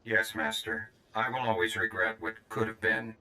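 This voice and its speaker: An actor playing a formal, deferential droid assistant in a video game, long-suffering and servile toward a master. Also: male voice, fairly low pitch, completely flat delivery, moderate pace, bright, forceful tone. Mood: dry deference. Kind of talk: video game character dialogue.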